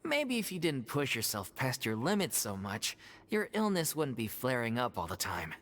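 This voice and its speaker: An actress voicing a blunt, teasing theater troupe member in a game. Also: female voice, very deep voice, wildly swooping pitch, moderate pace, in a large hall, heavily acted. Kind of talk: game voice acting.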